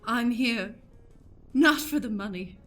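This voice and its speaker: A woman's voice: on the high side, takes it slowly, a bit bright, soft background music. A woman playing a purring, vengeful cat woman with melodramatic delivery in an improvised comic radio play. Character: purring, vengeful woman who was turned into a pet cat.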